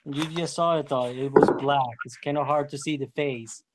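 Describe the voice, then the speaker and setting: A man's voice: mid-range voice, fairly even intonation, normal speed, over a noisy crowd. A man from Sweden with a Swedish accent, chatting on a video call with a study partner, friendly and a bit hesitant, chuckling often.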